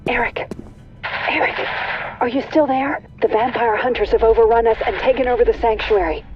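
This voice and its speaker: Muffled radio call, urgent ally. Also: female voice, a little high, normal speed. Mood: panicked. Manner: urgent, anxious. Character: desperate female ally calling for help over radio. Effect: radio transmission. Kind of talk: video game cutscene acting. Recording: muffled radio, distant from mic.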